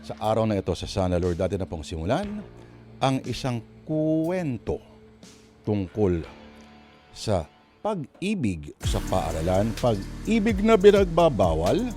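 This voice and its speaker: Dramatic radio host guy narrating, big swings in pitch, pauses between phrases, music behind him. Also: huge pitch swings, dark tone.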